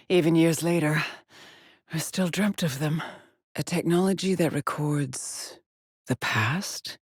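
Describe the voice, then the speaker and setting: An actress playing a haunted, driven female astronaut in a video game, brooding and introspective in measured solitary log monologues. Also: deep voice, a little mellow, husky, no room sound, clean studio sound, heavily acted. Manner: slow, measured, brooding delivery with pauses.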